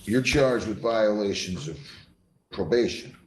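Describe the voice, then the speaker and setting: A man's voice: lowish voice, lively intonation, measured pace, a little mellow. A man speaking as a judge in court, questioning and admonishing firmly, often cutting in and repeating himself. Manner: stern, firm, interrupts and repeats himself. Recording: echoey courtroom, faint background noise.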